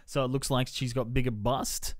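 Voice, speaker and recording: A man's voice: medium pitch, level tone, moderate pace, no room sound, clean studio sound. A man giving a casual, rambling, informal film review as a podcast reviewer.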